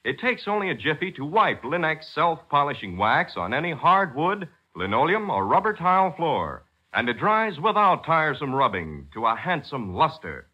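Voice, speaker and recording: A man working as a 1940s radio announcer, reading a script with emphatic, salesmanlike delivery and a husky voice. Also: fairly high pitch, sing-song, moderate pace, few pauses. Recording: dull, lo-fi 1940s radio recording.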